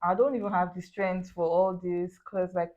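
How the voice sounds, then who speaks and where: A woman's voice: on the low side, flat delivery, moderate pace, a little mellow, muffled recording. A young woman with a Nigerian accent in a casual chat, blunt and matter-of-fact, slipping into pidgin. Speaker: guest.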